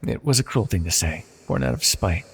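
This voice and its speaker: A man playing an ancient banished villain deity in a fantasy audio roleplay, proud yet wistful and tender, speaking in a formal, measured, confessional way. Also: sits low, sing-song, gravelly, slightly rough, exaggerated voice. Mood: regretful, tender. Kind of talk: scripted fantasy audio roleplay, intimate monologue. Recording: long reverberant hall echo.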